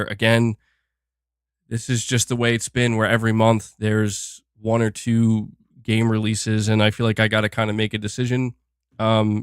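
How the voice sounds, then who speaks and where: A man's voice: medium pitch, clear, resonant tone, no echo, clean studio sound. A man hosting a gaming livestream, chatting casually while sick and a bit nasal, rambling with fillers and restarts. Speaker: gaming channel host.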